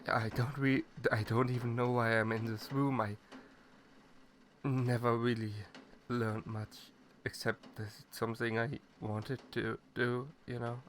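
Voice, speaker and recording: A shy, clueless catboy played by a man speaks in a mid-pitched male voice at a very slow pace. He is hesitant and stammers, bashful and eager to please, as in an intimate roleplay audio drama. Faint ambient noise sits behind the voice. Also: lightly acted. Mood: timid, awkward. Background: ambient noise, rain.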